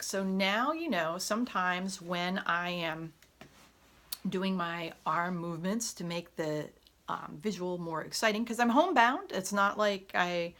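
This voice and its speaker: A woman chatting in an unscripted home vlog, often pausing with fillers and correcting herself, her voice hoarse at times. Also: fairly low pitch, a bit bright. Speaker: vlogger. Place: home room. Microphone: camera on a tripod.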